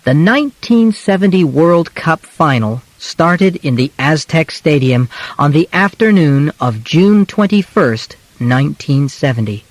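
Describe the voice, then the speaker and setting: A man reading a scripted audiobook in simple, measured, clearly phrased short segments. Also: fairly high pitch, no room sound, rough recording. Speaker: audiobook narrator. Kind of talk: scripted narration of a simplified biography for learners.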